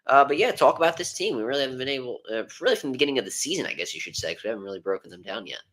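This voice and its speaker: A man's voice: mid-range voice, animated, very fast, a bit bright. A young man hosting a casual sports podcast, rambling with lots of ums and uhs. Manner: rambling with many fillers. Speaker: podcast host.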